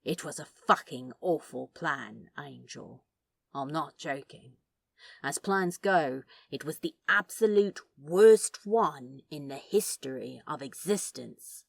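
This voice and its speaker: A gruff male demon from an audio storybook, acted out by a woman, sardonic and wounded. The voice sits very low and drags slowly, with a gravelly edge to every phrase. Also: lively intonation, no echo, heavily acted. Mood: exasperated. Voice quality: gravelly, husky. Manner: slow, sardonic, swearing drawl.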